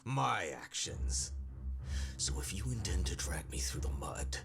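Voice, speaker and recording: A man's voice: low voice, very animated, measured pace, creaky, music in the background, exaggerated voice. An actor playing an imperious, defiant senior male power broker in a video game, condescending and weighty in delivery. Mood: grave, defiant. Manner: condescending, weighty, self-righteous. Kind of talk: English video game dub, dramatic cutscene acting.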